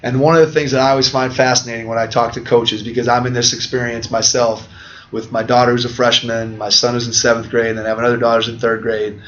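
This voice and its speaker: A man's voice: medium pitch, level tone, fast talker, a bit bright. Man hosting a podcast interview. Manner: reflective, talks in long winding sentences. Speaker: podcast host. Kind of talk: conversational interview. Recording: dull lo-fi audio.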